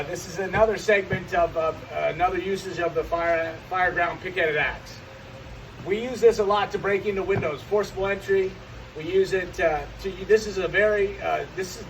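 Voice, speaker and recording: Guy with kinda high voice showing how to use gear, repeats himself, some noise behind. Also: rough recording. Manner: repeats and restarts phrases as he explains. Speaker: firefighting instructor. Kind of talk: hands-on demonstration, unscripted teaching.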